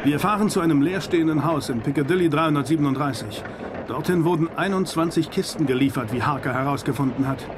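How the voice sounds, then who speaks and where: An actor playing an authoritative vampire hunter, speaking earnestly and declaiming in a German audio drama. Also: male voice, fairly high pitch, fast talker, noisy background, lightly acted. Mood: grave resolve. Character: resolute, authoritative male vampire hunter leading his friends.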